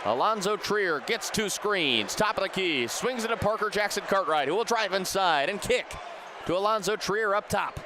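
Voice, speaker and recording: A young American man calling live basketball play-by-play on radio with a projected, energetic delivery. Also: high-pitched, moderate pace. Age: young adult. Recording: noisy arena with crowd behind. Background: basketball crowd and bouncing balls.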